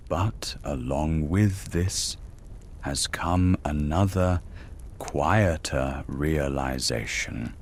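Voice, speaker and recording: A man with an extremely deep voice tells a bedtime story at an unhurried, lingering pace. His delivery is calm and hushed, sometimes dropping to a whisper, over a faint hiss. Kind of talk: slow soothing sleep-story narration.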